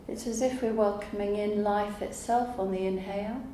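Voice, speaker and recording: A woman teaching yoga nidra, guiding a relaxation meditation in a calm, soothing, unhurried way with long pauses. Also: mid-range voice. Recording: roomy echo, faint background noise.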